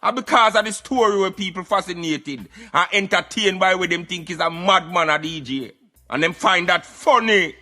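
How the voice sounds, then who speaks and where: A man acts as an opinionated Jamaican Rasta-style commentator with a high, forceful, bright voice. He lectures emphatically in patois, repeating words as he drives points home, over a light music bed. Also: moderate pace. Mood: scornful. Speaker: radio show commentator. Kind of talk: satirical social commentary in patois.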